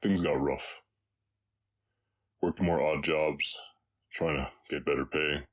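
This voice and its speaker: Man voicing a very deep, gentle orc cowboy. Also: fairly even intonation, heavily acted. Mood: reflective, subdued. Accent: slight Southern US. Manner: halting, reflective, soft-hearted, pausing often. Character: gentle, weary orc cowboy, reformed bounty hunter. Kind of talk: intimate roleplay audio drama, spoken to a listener.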